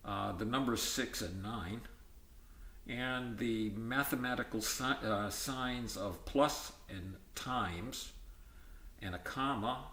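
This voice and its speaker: Slow-paced man reading aloud, roomy sound. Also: mid-range voice, sharp tone. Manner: halting list-like delivery with ad-libbed comments. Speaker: reader of an educational book. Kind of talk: reading a textbook aloud with informal asides.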